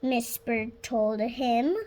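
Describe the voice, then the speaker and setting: A girl of about six reading a children's storybook aloud, halting word by word, stumbling and correcting herself. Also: a little high, fairly even intonation, mellow. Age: child, about 6 years old. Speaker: young reader practising reading aloud.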